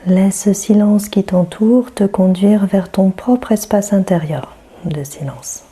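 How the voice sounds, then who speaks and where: A woman guiding a yoga nidra meditation in a soothing, hushed manner, leaving long pauses between phrases. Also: mid-range voice, breathy edge. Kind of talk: guided meditation.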